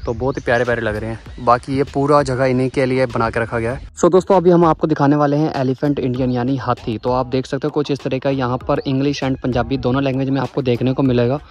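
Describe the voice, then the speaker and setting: A man's voice: mid-range voice, steady tone, quick pace, few pauses, a little mellow. A man vlogging a casual walking tour of a zoo, chatty and enthusiastic, often exclaiming, recorded outdoors on a handheld camera. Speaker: travel vlogger.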